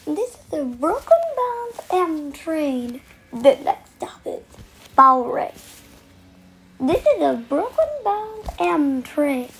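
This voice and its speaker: A woman reading scripted transit announcements in clipped, formulaic, clearly separated phrases. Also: very high voice, sing-song, slow pace. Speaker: train announcer. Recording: noisy recorded train announcements.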